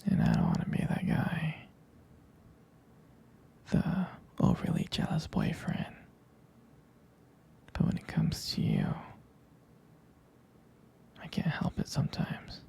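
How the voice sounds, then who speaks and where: A man playing a possessive, tender young boyfriend in an intimate ASMR roleplay, whispering breathily in a halting, softly pleading way. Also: fairly high pitch, huge pitch swings, heavily acted. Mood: possessive, intense. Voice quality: breathy, husky whisper with gravelly creak. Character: possessive, tender, jealous young boyfriend comforting his sweetheart.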